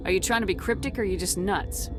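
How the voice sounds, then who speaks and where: A woman's voice: mid-range voice, fast, natural voice. A woman playing a blunt, commanding military agent in a video game, asking direct, no-nonsense questions. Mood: firm, probing. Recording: game music behind the voice. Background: game music.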